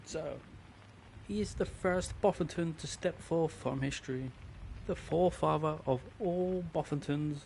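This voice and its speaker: A man's voice: high voice, a little mellow, slight background noise, poor audio. A man playing a devoted, deferential servant in a video game, speaking in a formal, old-fashioned and reverent way. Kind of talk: scripted game character storytelling.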